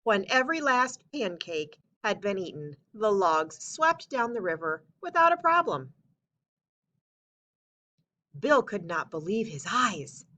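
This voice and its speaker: Animated, bright-voiced woman reading a story. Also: medium pitch, takes it slowly. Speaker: story reader. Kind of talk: children's storybook read-aloud narration.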